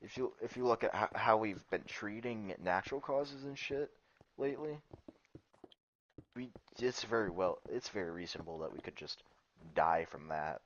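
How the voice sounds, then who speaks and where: Growly low-voiced man, muffled headset. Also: moderate pace, fairly bright tone, slight background noise. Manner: rambling, profane, laughs often. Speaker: streamer's friend. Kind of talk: casual chat on a game stream. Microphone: gaming headset.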